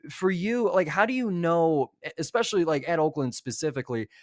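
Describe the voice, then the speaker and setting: A man's voice: on the high side, lively intonation, fairly quick, dry recording, studio quality. A man hosting a casual interview, building long, wordy questions and rephrasing them as he goes. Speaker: interviewer, podcast host.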